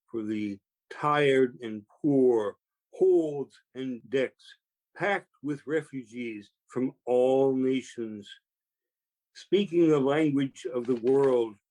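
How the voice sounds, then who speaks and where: A man reading a poem aloud in a measured recitation style. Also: medium pitch, darkish tone, low-quality recording. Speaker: poetry reader.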